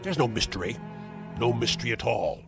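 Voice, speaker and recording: A man's voice: mid-range voice, expressive, fairly quick, close to an everyday voice. Man playing a venomous old uncle. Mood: scornful. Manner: venomous, theatrical insults. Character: spiteful bedridden old uncle, cruel and sneering. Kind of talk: vintage radio-style drama acting. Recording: music score behind.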